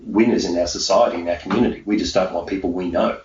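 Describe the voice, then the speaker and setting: A man's voice: sits low, fairly even intonation, fast talker, a little mellow. A middle-aged man with an Australian accent talking conversationally as a motivational podcast host, emphatic and fond of rhetorical questions. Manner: emphatic, poses rhetorical questions and answers them. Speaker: podcast host and mindset coach. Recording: echoey room, muffled, noisy background.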